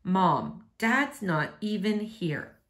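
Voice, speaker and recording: A woman's voice: medium pitch, big pitch swings, drawn-out words, fairly bright tone, heavily acted. Woman reading as a sullen, protesting young boy. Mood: sullen, pleading. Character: sullen, protesting boy starting high school soon.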